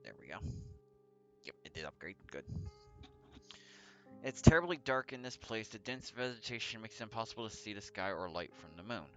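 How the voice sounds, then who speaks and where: A man's voice: lowish voice, big pitch swings, moderate pace, pauses often, muffled recording. A man hosting a let's play, reading a visual novel aloud with casual commentary, sometimes stumbling and correcting himself, with music behind him. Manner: stumbles over read text and corrects himself. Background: background music.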